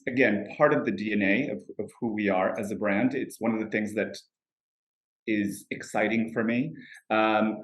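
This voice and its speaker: A man speaking as a fragrance brand executive on a panel, measured and pausing with fillers. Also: lowish voice, roomy sound, poor audio. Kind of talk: panel discussion answer.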